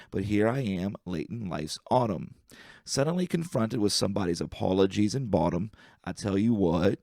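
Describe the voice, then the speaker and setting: Low, gravelly man reading verse aloud. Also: mellow, no echo, clean studio sound. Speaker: amateur reader of poetry.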